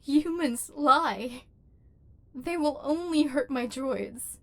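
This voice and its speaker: A woman voicing a lonely, wounded female robot overlord in an ASMR roleplay, speaking in formal, measured, machine-like phrases, guarded but yearning. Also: high voice, dry recording. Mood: bleak, resolute.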